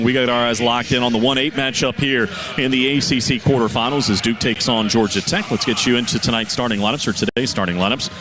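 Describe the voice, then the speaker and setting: A man's voice: medium pitch, noisy background. A man calling a basketball game on radio, reading lineups and stats from an arena broadcast position. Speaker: radio play-by-play announcer. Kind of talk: pregame sports radio broadcast.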